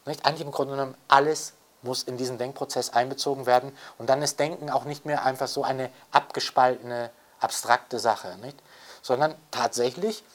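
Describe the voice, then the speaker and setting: Man explaining ideas with emphatic tags. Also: mid-range voice. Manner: emphatic, often adds a checking tag question. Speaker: scholar interviewed on Adorno. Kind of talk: conversational scholarly explanation.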